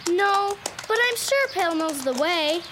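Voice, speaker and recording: Woman playing a very high-voiced confident country girl. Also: expressive, measured pace, very noisy. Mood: calm, assured. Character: confident, self-reliant young country girl who rides horses.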